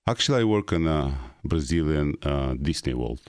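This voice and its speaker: Middle-aged male guest, Russian accent, very deep voice, hesitant broken English. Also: lively intonation, no echo, studio quality. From North Ossetia, Russia. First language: Ossetian. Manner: halting English with frequent uh pauses. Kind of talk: casual interview.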